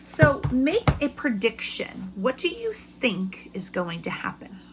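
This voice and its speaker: A woman speaking as an elementary school teacher, reading aloud to children in simple, expressive language and putting questions to them. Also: a little high, slow pace, background noise.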